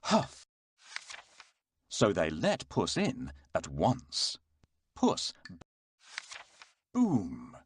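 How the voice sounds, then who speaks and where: A narrator reading a scripted children's fairy tale in a dramatic, expressive storytelling manner. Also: low-pitched, extremely slow, pauses often, slight background noise.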